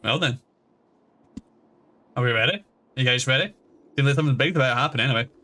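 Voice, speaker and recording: A man's voice: mid-range voice, quick pace, bright, forceful tone. A man streaming a video game with casual, offhand commentary. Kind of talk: casual livestream gameplay commentary. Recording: faint background music.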